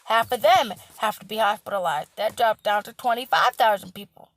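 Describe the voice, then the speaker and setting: A young woman who works in healthcare, hoarse with a cracking voice, lecturing bluntly, repeating herself and swearing for emphasis. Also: medium pitch, fairly quick, fairly bright tone, no room sound. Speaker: healthcare worker. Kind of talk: casual vlog rant.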